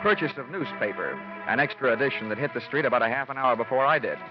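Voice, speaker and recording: Actor as wisecracking hardboiled radio detective, animated. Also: male voice, mid-range voice, quick pace, close to an everyday voice. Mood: dry narration. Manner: sardonic hardboiled delivery, slangy quips. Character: wisecracking, cocky male freelance insurance investigator. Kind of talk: 1940s-50s radio detective drama acting. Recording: dull vintage radio recording, music behind.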